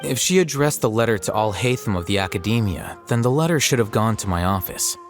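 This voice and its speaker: An actor playing an arrogant, composed young scholar in an anime video game, dryly sardonic and articulate. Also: male voice, fairly low pitch, expressive, brisk, soft background music. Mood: composed, matter-of-fact. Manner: dry, articulate, self-assured.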